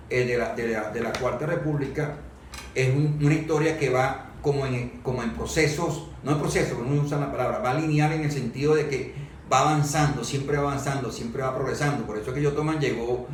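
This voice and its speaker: Halting man in an echoey room. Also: medium pitch. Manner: halting, repeats words while searching for phrasing. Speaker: guest commentator on history. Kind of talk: explanatory political and historical discussion. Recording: echoey room, distant microphone, background noise.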